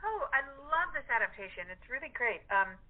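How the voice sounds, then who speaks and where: A woman chatting casually as an interview guest, pausing thoughtfully as she goes. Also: fairly high pitch, very animated. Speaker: cast member being interviewed. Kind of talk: casual chat. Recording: tinny telephone line.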